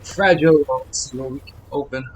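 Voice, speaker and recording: A man's voice: medium pitch, fairly quick, slight background noise, rough recording. A man livestreaming a video game, chatting playfully and casually straight to his viewers. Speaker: game streamer. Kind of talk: casual livestream chat while playing. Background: faint game music.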